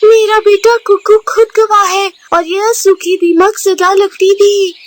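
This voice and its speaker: A woman voicing a gentle, protective mother bird in a cartoon, earnest, pleading and full of morals. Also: very high-pitched, steady tone, takes it slowly, a little hiss or hum, voice pushed up.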